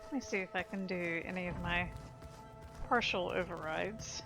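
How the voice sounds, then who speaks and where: A woman's voice: fairly low pitch, moderate pace, gravelly. A woman doing casual let's-play commentary, chatting to herself as she plays. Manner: chatty, talks to herself while playing. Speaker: gameplay streamer. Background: video game music and sounds.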